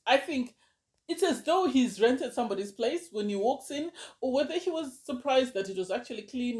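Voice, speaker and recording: A woman with a sore throat giving a casual, opinionated review of a reality show, often firing off exasperated questions. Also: medium pitch.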